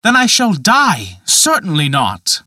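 A man's voice: big pitch swings, normal speed, a bit bright, no echo, clean studio sound. A man voicing a frightened, pleading young man who stammers in a raised voice. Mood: horrified. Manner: high, anxious, stammering.

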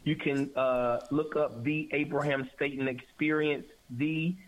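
A man in his forties from South Carolina talks as a guest on a show. His voice sits fairly high, and he is relaxed and repetitive, tossing in "man" constantly. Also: a little slow, low-quality recording. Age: 40s. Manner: laid-back, repeats himself, says "man" a lot. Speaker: guest musician. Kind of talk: casual interview chat.

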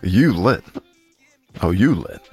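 A man's voice: sits low, sing-song, takes it slowly, darkish tone, slightly rough, soft background music. A man co-hosting a podcast in casual chat, with slangy, teasing banter. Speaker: podcast co-host.

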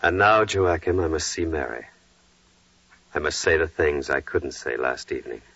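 An actor playing a humble, devout carpenter, earnest and reverent, in the measured style of 1940s radio drama. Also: male voice, rumbling low, creaky, sharp tone, slightly rough, heavily acted. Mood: earnest, devoted. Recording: dull, echoey vintage radio recording.

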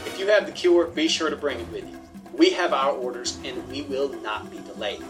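A man's voice: medium pitch, fairly quick, natural voice. A man acting a stern navy captain who gives unbending orders in an audio drama. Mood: cold, firm. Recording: music under the dialogue.

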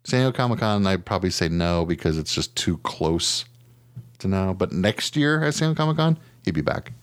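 A man's voice: sits low, fairly quick, dry recording. An American man, middle-aged, co-hosting a casual podcast, telling stories bluntly with plenty of swearing and mimicked quotes. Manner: blunt, profane, animated storytelling with mimicked quotes. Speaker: podcast co-host. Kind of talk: casual chat.

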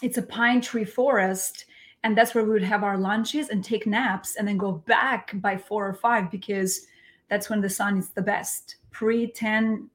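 A woman's voice: mid-range voice, fairly even intonation, a little slow. Albanian-accented woman, playful interview guest. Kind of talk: casual conversational interview.

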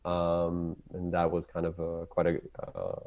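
A man from Israel with an Israeli accent, English not his native tongue, talking casually as a guest and often pausing to search for words. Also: low-pitched, completely flat delivery, gravelly, phone-quality sound. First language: Hebrew. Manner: halting, searching for words, many fillers and restarts. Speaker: ecologist guest. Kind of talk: casual interview talk.

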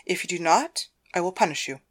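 An audiobook wife acted by a woman who rules her husband with a firm hand. Her voice sits a little low, and she speaks sternly, issuing demands as someone used to control. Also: animated, a little fast. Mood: commanding. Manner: commanding, stern. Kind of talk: audiobook character dialogue.

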